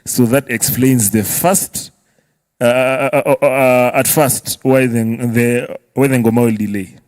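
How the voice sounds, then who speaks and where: A man's voice: medium pitch, steady tone, unhurried, darkish tone, no room sound. A Kenyan man with a mid-range voice speaks as a guest expert in a dry, close recording. He explains things step by step in a casual way, often adding short tag questions and switching between languages. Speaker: music producer guest.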